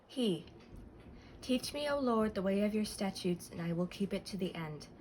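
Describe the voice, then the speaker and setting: A woman's voice: medium pitch, lively intonation, normal speed, background noise. A woman reading scripture verses aloud. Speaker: reader.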